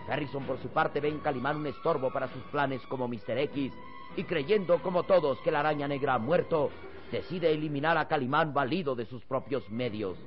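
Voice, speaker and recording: A man's voice: medium pitch, a little fast. A man narrating an old radio serial in a dramatic, emphatic, theatrical style. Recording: dull lo-fi old radio, loud music.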